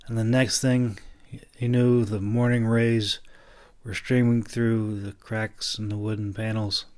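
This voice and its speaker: A man narrating a fantasy audiobook, reading scripted prose with some halting stumbles and restarts. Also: mid-range voice.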